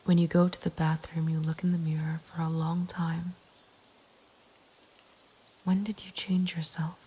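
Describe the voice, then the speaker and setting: A woman narrating a scripted horror story in a breathy ASMR whisper, with a hushed, deliberate delivery. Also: low voice, level tone. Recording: faint ambient background noise. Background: soft nature ambience.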